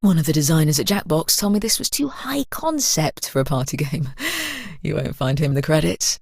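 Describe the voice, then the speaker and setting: Creepy narrator voice in a game, low n breathy, teasing, evil conscience vibe. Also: female voice, big pitch swings, fairly quick, darkish tone, no echo, studio quality, full character voice. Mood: sly, teasing. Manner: arch, teasing, conspiratorial. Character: sly, sinister disembodied narrator, murderous conscience. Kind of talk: video game character voice acting.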